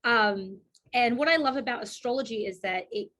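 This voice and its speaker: A woman's voice: fairly high pitch, moderate pace, fairly bright tone. A woman, a guest astrologer talking casually in an interview, laughing often and restarting her sentences. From Australia.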